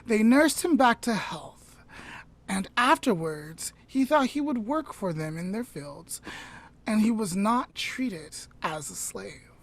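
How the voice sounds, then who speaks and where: Slow-paced woman telling a story. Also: medium pitch, expressive. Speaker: podcast host telling a story. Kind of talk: storytelling retold aloud, informal.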